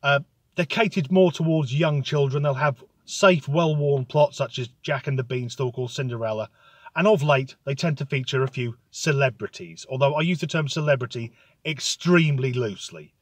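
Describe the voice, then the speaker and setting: A man delivering a sardonic, sweary comic rant in a deadpan tone. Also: medium pitch, normal speed, no room sound. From United Kingdom. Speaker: comedic ranter.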